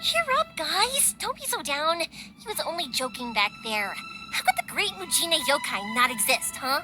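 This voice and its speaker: A woman's voice: high-pitched, huge pitch swings, moderate pace, music in the background. A woman playing a cheerful, big-sisterly young fireworks maker in a video game, upbeat and coaxing. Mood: encouraging. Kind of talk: English video game voice acting.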